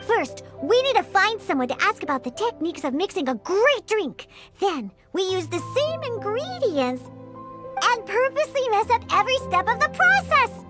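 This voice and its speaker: A woman's voice: sing-song, moderate pace, soft background music, exaggerated voice. A woman voicing a feisty young catgirl bartender in a video game, with a childlike voice and an emphatic, indignant manner. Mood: excited scheming. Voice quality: high, bright, childlike. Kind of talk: animated video game character acting.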